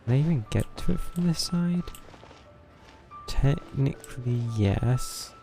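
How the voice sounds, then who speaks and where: A man's voice: medium pitch, animated, slow, creaky, mellow. A man giving casual livestream game commentary in dry, sardonic asides. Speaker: game streamer. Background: game music.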